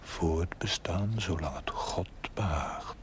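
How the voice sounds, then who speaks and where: An actor playing a gravely pious, philosophising mentor in a television drama, with a whispery voice and measured, didactic delivery. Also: male voice, sits low. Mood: stern, lecturing. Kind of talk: 1970s Dutch television drama acting. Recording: 1970s TV soundtrack with loud noise.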